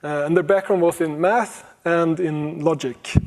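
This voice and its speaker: A man giving a relaxed conference talk on computing history, with many pauses, fillers and restarts and the odd chuckle. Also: a little high. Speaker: conference speaker.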